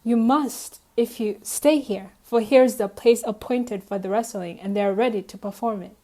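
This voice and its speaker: A chatty court messenger in a Shakespearean radio play, acted by a woman. The voice is fairly high and soft-edged, with a formal, deferential way of telling news. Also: moderate pace, lightly acted. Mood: courteous.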